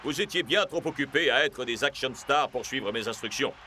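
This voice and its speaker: A man voicing a stern, wry cartoon football coach in a measured, dry, lecturing manner. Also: medium pitch, bright, forceful tone, a little hiss or hum, lightly acted. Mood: dry, satisfied.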